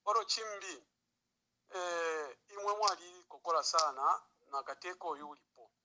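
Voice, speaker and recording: A man's voice: high-pitched, a little slow. A man with a Zambian accent giving spontaneous political commentary as a caller on a noisy phone line.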